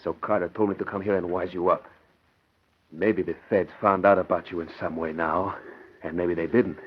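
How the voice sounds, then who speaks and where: A man's voice: sits low, fairly even intonation, moderate pace, slightly rough. A man playing a young gangster henchman with slangy, urgent talk in the style of 1940s radio drama. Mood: wary, insistent. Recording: muffled old radio drama recording.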